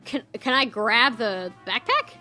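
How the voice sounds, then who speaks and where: A woman playing a tabletop game, chatting casually and thinking her moves through aloud in a deliberate way. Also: on the high side, sing-song, sharp tone. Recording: music behind the voice. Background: game soundtrack music.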